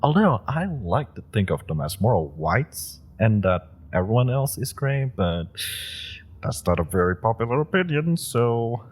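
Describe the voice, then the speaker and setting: A man's voice: mid-range voice, big pitch swings, normal speed. A man playing an eager, awkward young male super-powered rookie, rambling with nervous laughs and many fillers. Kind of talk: audio roleplay acting.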